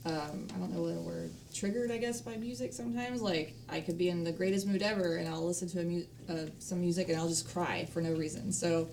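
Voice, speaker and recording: A woman's voice: on the low side, fairly even intonation, fairly quick, vocal fry, few pauses, darkish tone. A woman chatting casually and candidly about herself. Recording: slightly distant mic, faint background noise.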